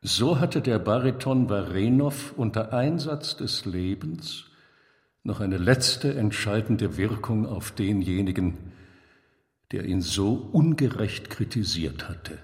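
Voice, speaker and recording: A man's voice: fairly low pitch, expressive. Man narrating in long hall reverb. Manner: deliberate, dramatic phrasing with pauses. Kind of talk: scripted literary narration of a biographical story.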